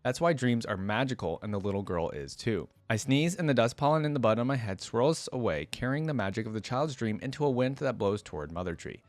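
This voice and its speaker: A man's voice: medium pitch, animated, brisk, hardly any pauses, no echo. A young man hosting a reaction video, reading story text aloud and commenting in an informal, musing way.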